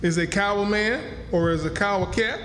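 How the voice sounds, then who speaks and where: Guy acting a high voiced folksy runaway slave, kindhearted n stubborn, radio play in echoey hall. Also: normal speed, a bit bright, a little hiss or hum. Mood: stubborn, indignant. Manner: folksy, homespun rural dialect delivery. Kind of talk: stage radio drama acting. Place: live stage in a hall. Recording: echoey hall, music behind.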